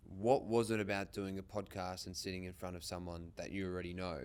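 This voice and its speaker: Australian male podcast host, deep voice, smooth and resonant, informal interviewing. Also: flat delivery, a little fast, darkish tone. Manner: informal, occasional swearing.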